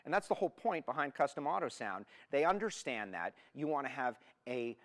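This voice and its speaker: A man's voice: medium pitch, sing-song, brisk, a bit bright. Casual man co-hosting a car show. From Miami, United States. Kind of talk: casual product talk.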